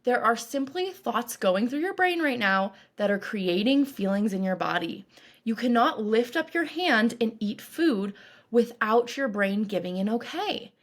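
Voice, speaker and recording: Woman, fairly high voice, guided meditation, calm, reassuring, deliberate coaching delivery. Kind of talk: guided meditation, scripted narration.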